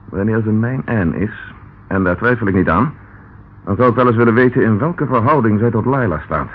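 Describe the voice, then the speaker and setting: An actor playing a determined, persistent police inspector in a Dutch radio detective drama, questioning formally and insistently. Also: male voice, fairly low pitch, animated, fairly quick, slightly rough. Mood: urgent, reasoning. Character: determined male Scotland Yard police inspector, brisk and persistent. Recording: tinny, band-limited, noisy old radio recording.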